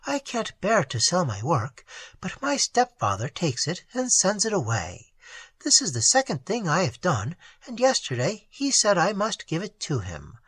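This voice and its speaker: A reader voicing a shy, gentle young woman sculptor, soft and whispery, with an innocent, wondering manner. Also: mid-range voice, very animated, measured pace, husky, no echo, full character voice. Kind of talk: audiobook dialogue.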